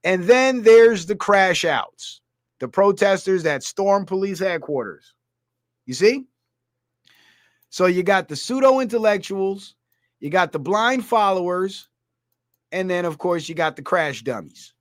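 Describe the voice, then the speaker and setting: A high-voiced man from Guyana rants through his livestream, heated and blunt as he fires off political commentary. His voice is close and dry, with no room sound around it. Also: expressive, measured pace, dry recording. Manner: heated, ranting, reading comments aloud. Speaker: streamer.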